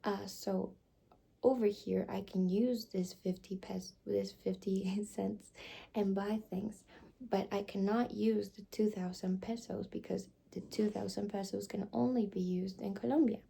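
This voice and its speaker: Slow, husky whispering woman. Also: medium pitch, steady tone. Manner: soft whispering, hesitant with many fillers. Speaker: ASMR creator. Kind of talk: whispered ASMR roleplay.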